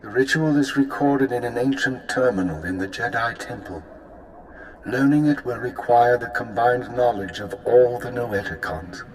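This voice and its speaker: A man's voice: mid-range voice, normal speed, darkish tone, natural voice, low-quality recording. A man voicing a solemn ancient Jedi master spirit in a video game, formal and cautionary. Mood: grave caution.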